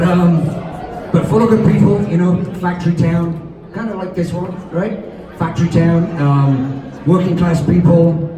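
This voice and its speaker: Wry English man in an echoey hall. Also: on the high side. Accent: English, Midlands. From England. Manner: wry, self-deprecating. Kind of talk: stage Q&A chat. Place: large hall with audience. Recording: echoey hall, far off, crowd.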